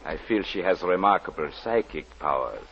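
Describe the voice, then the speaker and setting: On a dull, hissy old radio recording, a deep-voiced, suave villain. He flatters in florid, courtly phrases, his pitch swinging widely, in the style of 1940s radio drama. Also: normal speed, creaky, exaggerated voice. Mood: courtly, ingratiating. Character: suave, flattering male charmer with hidden menace.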